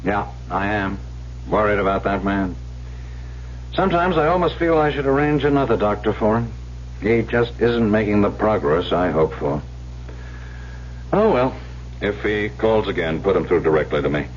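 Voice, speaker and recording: Man acting weary worried doctor, lowish voice, old radio drama, muffled. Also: animated, normal speed, long pauses, a little hiss or hum, close to an everyday voice. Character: concerned, weary male doctor, a psychiatrist.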